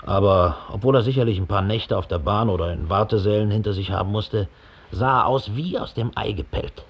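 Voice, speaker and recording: A man's voice: fairly low pitch, fairly quick, breathy edge. An actor playing a big, slovenly, resentful middle-aged Nazi operative who gives his account with contempt and self-importance in a radio play. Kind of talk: radio drama monologue, police statement. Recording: 1960 studio radio play.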